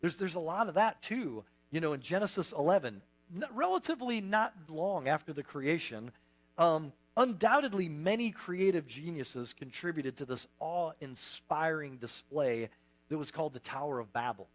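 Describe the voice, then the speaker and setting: A man's voice: a little high, lively intonation, normal speed, poor audio. A man preaching a casual, conversational sermon, full of asides and self-deprecating humor. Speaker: pastor.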